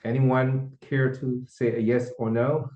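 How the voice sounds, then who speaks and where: A man's voice: mid-range voice, flat delivery, slow pace, dark tone. Polite man moderating on a roomy, dull call. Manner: polite, reads questions from chat, repeats them. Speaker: webinar moderator. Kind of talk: moderating a webinar Q&A.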